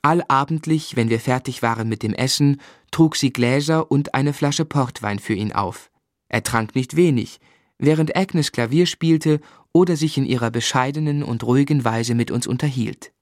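An actor playing a grown man who reflectively narrates his boyhood in a German radio drama, in a measured literary delivery. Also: medium pitch, no room sound, clean studio sound, natural voice.

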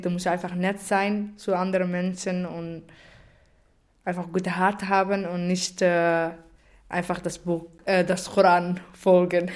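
A woman's voice: lowish voice, a little mellow. A woman with a foreign accent, speaking non-native German off the cuff in a plain way, searching for words now and then. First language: not German. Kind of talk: spontaneous spoken opinion and retelling.

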